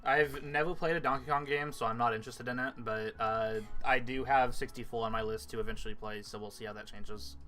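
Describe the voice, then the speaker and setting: Man, average pitch, bright forceful tone, casual gaming chat, faint music behind. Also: steady tone, brisk, few pauses. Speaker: streamer playing with friends.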